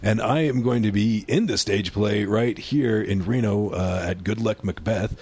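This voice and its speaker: A man's voice: lowish voice, measured pace, husky. A man talking casually as a guest on a comedy podcast, rambling and swearing as he plugs his stage play. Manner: rambling, swears freely, excited plugging.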